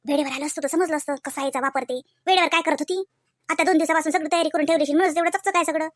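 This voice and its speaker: A woman's voice: high voice, steady tone, rapid-fire, natural voice. A woman voicing a snobbish, boastful, envious sister-in-law in a comedy cartoon, affected and condescending. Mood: resentful, grumbling. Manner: affected, condescending, with snide muttered asides. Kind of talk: exaggerated cartoon comedy dialogue.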